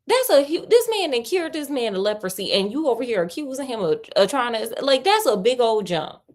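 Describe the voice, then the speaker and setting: A woman host reading a story aloud on a livestream, breaking in with playful, colloquial asides and expressive delivery. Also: fairly high pitch, fairly quick.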